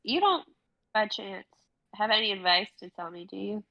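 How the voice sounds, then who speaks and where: A woman's voice: mid-range voice, lively intonation, normal speed, dry recording, lo-fi sound, lightly acted. A woman reading the part of an anxious, searching young woman traveler in a stage play, hesitant and earnest. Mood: flustered, apologetic. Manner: hesitant, earnest, self-dismissing.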